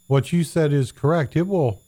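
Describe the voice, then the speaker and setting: A man's voice: on the high side, moderate pace, dark tone. Folksy pastor man, plain-spoken. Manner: folksy, conversational preaching.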